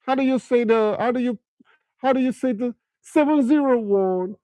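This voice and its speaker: A man's voice: extremely high pitch, normal speed, dark tone, no echo, rough recording. A middle-aged man from Nigeria with a Nigerian accent, hosting a casual livestream where he keeps firing questions at viewers and slips into pidgin. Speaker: livestream host.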